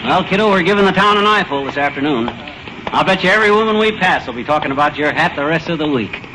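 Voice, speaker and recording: A man's voice: fairly high pitch, expressive, fast talker, noisy background, natural voice. An American man acting a pushy, boastful, devoted middle-aged husband in an old-time radio comedy, with a folksy, slangy, blustery manner. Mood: insistent, boastful.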